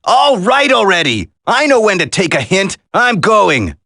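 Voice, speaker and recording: An actor playing an earnest, determined young special-forces soldier in a dramatic video game. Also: male voice, high-pitched, huge pitch swings, measured pace, sharp tone, dry recording, studio quality, heavily acted. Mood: annoyed.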